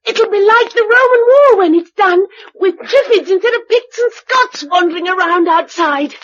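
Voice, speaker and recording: Cheeky little british farm girl, really high voice, eager, old radio play sound a bit dull. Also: moderate pace, a bit bright. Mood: boastful, eager. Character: eager, cheeky young farm girl in a post-apocalyptic world. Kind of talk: British radio drama acting. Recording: dull, lo-fi radio drama recording.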